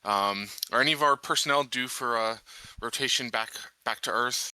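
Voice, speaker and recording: A man's voice: mid-range voice, normal speed, sharp tone, clear, resonant tone, no room sound, poor audio. A man chatting casually as a tabletop game player, hesitant and questioning. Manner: hesitant, questioning, restarts to think.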